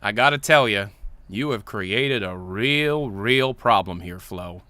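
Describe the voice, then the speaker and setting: A man voicing a folksy, weary, reproachful male engineer with measured pauses. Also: lowish voice, lively intonation, bright, forceful tone, dry recording, full character voice.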